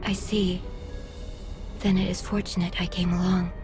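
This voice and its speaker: A woman playing a serene, wise Jedi mentor in a video game, speaking formally and calmly in measured phrases. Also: lowish voice, natural voice. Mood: calm, reassuring. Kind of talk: video game dialogue acting. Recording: music behind the voice.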